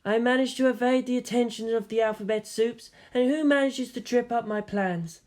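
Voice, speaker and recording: A reader voicing a cool, sardonic young woman from a fanfiction audiobook, speaking dryly and sarcastically, pitched a little above the reader's own voice. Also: moderate pace, darkish tone, lightly acted. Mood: exasperated.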